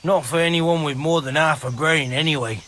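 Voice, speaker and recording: Sour out-of-work villager, forceful bright tone, blunt and grumbling, game cutscene. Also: male voice, a little high, moderate pace. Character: sour, foul-mouthed out-of-work village carpenter. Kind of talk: video game cutscene dialogue.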